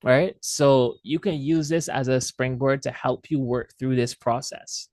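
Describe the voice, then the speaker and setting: A man's voice: medium pitch, fairly even intonation, unhurried, a little mellow. A man teaching an online class as instructor, speaking casually and loosely with fillers and restarts, heard over a video call.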